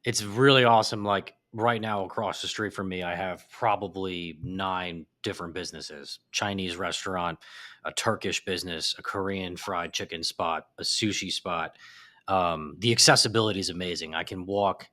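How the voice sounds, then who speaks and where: A man chatting as a podcast guest, an animated storyteller whose voice jumps up when he gets excited. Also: deep voice, moderate pace. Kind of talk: casual chat. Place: apartment in a big city.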